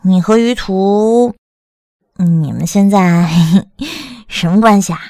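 A woman playing a young wife who is a bubbly, nosy, excitable best friend in an audiobook drama. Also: mid-range voice, slow pace, dry recording, clean studio sound. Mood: teasing, nosy. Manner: excitable, teasing, wheedling, exclamatory.

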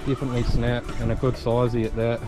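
A man's voice: medium pitch, fast talker. A young man with a New Zealand accent, giving upbeat, slang-filled commentary while fishing outdoors on a small boat, recorded on a head-mounted camera. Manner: excited, upbeat, full of slang. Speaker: fishing vlogger. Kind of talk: casual on-the-spot commentary. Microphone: head-mounted action camera. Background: loud background music.

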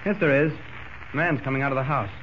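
An actor playing a calm, polite male newspaper reporter in old-time radio drama style. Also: mid-range voice, fast, close to an everyday voice. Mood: calm, courteous. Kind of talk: 1940s radio drama acting. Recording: muffled, noisy old radio recording.

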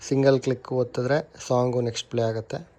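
A man's voice: medium pitch, steady tone, fairly quick, dark tone. A young man with a Kannada accent giving a casual, chatty review of a helmet intercom, mixing in English words as he goes. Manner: chatty, mixes English words into his talk, self-corrects. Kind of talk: casual product review and unboxing.

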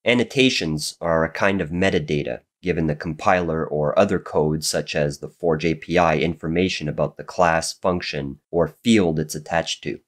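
A man with a very low voice walks through a coding tutorial as a voice-over. A cold leaves him a little raspy and nasal, and he explains each step in a steady, methodical way. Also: moderate pace, few pauses. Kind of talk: screen-recorded programming tutorial narration.

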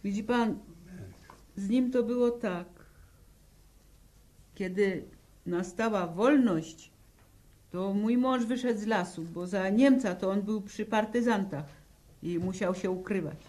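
An actress playing a weary peasant widow with a rural Polish accent, telling her story haltingly in a 1960s radio drama. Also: medium pitch, a little mellow, lightly acted. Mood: wistful recollection. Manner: slow, halting storytelling in plain folk speech. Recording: old 1960 radio drama recording.

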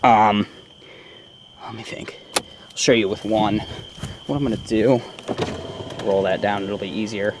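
A man's voice: on the low side, unhurried, pauses often, background noise. A young man casually showing off his car in a vlog, rambling with many ums, filmed on a handheld camera in and around his parked car. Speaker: vlogger. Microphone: handheld camera mic.

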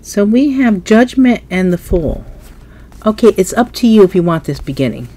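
A woman's voice: mid-range voice, big pitch swings, normal speed, dark tone. A woman with a stuffy nose giving a casual tarot reading, musing aloud as she turns cards at a table. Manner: musing, thinking aloud while turning cards. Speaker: tarot reader. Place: indoors at a table, hands only in frame.

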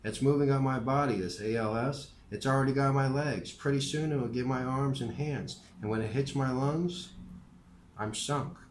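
A man voicing a frail, dying old male professor who speaks gently and calmly in an unhurried way. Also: medium pitch, a little hiss or hum, pitched above the performer's own voice, natural voice. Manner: gentle, unhurried, softly earnest. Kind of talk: audiobook character voice. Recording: roomy echo with faint ambience.

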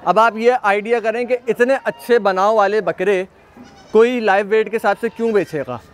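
A man's voice: sits high, moderate pace. A man hosting an on-site walkthrough of livestock, chatting casually and repeating praise often. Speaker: livestock video host. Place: outdoor goat pen at a farm.

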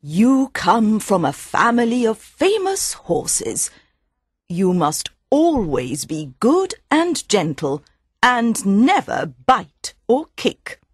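A man voicing an old, wise mother mare who gently teaches her colt, speaking slowly in a lifted voice with a slightly breathy tone. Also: sits high, wildly swooping pitch, no echo, studio quality, voice pushed up, full character voice. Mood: gentle advice. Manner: gentle, slow, instructive.

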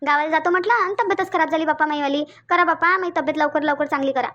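Woman playing a high-pitched, grumbling village granny. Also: racing, sharp tone, highs cut off. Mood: complaining, pleading. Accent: Varhadi, Vidarbha Marathi. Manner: grumbling, rustic, repetitive. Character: stubborn, complaining old village grandmother, sick from heat. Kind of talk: cartoon dialogue.

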